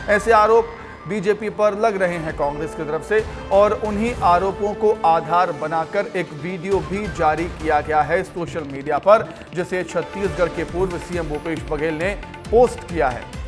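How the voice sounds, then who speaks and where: A man anchoring live television news in an emphatic way, often repeating phrases for stress. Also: high voice.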